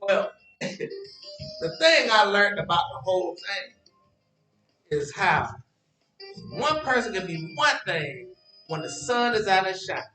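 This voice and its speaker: An actor in a stage play as a teasing, boastful ladies' man who spins his tale with drawn-out drama and stammering hesitations. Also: sits high, big pitch swings, bright, forceful tone, exaggerated voice. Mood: knowing. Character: teasing, evasive storyteller named Henry, boastful ladies' man. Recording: roomy, distant stage recording with music.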